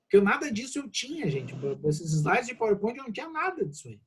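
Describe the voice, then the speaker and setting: A Brazilian man with a notably high voice talks students through a class over a video call. He sounds casual and reassuring, checks in often, and there is faint background noise on the line. His voice is slightly congested. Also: wildly swooping pitch, quick pace. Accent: Brazilian Portuguese. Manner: casual, warm reassurance with frequent "né?". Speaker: law professor. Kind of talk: online class Q&A.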